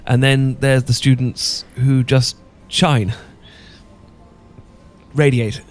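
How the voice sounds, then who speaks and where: A man's voice: mid-range voice, flat delivery, extremely slow. A man with a British accent playing an awkward, sentimental English professor in a romantic ASMR roleplay, stammering and hesitant. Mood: nostalgic, admiring. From Britain. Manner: stammering, hesitant, full of false starts. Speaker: audio roleplay performer.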